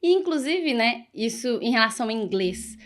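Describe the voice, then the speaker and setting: A woman's voice: on the high side, sing-song, normal speed. A woman hosting an interview podcast, encouraging, who frames long questions from her own experience. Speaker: podcast host. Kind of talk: casual interview conversation.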